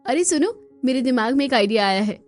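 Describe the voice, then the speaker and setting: A woman's voice: sits high, expressive, fast talker, faint music behind. A woman voicing an eager, practical young daughter-in-law explaining things in a scripted cartoon.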